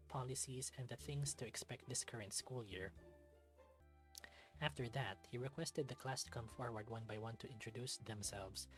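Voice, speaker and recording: Casting: story narrator for a visual novel let's-read, medium pitch, expressive reading, music underneath. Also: rough recording.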